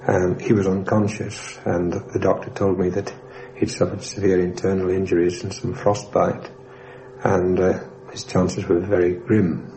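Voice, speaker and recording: A man, a former airman, giving a measured, formally worded recollection of the war in a documentary interview. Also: deep voice, little pitch movement, mellow, low-quality recording. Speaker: former RAF airman recalling his war experience. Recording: faintly hissy 1960s radio recording.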